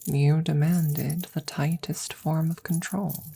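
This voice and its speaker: A woman playing a wry, affectionate witch soothing her familiar in an intimate ASMR audio roleplay, soft and gently teasing, often near a whisper. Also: low voice, fairly even intonation, takes it slowly, gravelly, slightly rough, exaggerated voice. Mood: reassuring.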